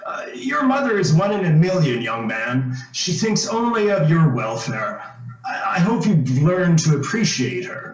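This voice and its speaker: Man playing pompous paternal gent, animated pitch. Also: a little slow, crowd noise. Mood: stern, paternal. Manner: pompous, formal wording. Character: pompous, stern older professional man, paternal. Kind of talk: old-time radio drama acting. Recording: echoey room.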